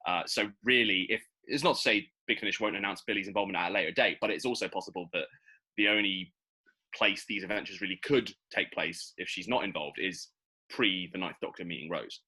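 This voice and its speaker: A man's voice: sits low, brisk, bright, forceful tone, slightly rough, low-quality recording. A man chatting casually and animatedly as a podcast host, like an enthusiastic fan. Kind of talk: casual podcast chat about a TV series.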